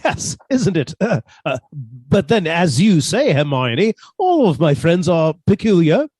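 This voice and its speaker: A man playing a hesitant, submissive professor husband with a quiet menace, stammering his way through a radio suspense drama. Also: on the high side, sing-song, measured pace, slightly rough, no room sound, exaggerated voice. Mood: meek, flustered. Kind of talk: theatrical radio suspense acting.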